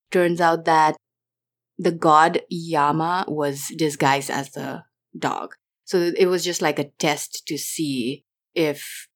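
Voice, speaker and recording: A young adult woman from Nepal, a guest chatting casually in an interview, with many fillers and restarts. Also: deep voice.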